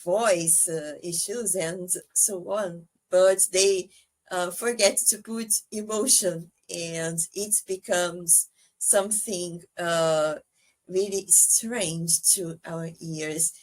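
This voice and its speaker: Woman, Portuguese first language, unhurried and hesitant, filler-heavy, laughs easily. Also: fairly low pitch, fairly even intonation. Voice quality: soprano singer. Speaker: guest. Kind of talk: casual podcast conversation.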